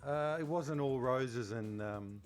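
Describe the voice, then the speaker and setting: A man's voice: medium pitch, slow. Man chatting, music in the background. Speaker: podcast co-host. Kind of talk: casual chat, interviewing.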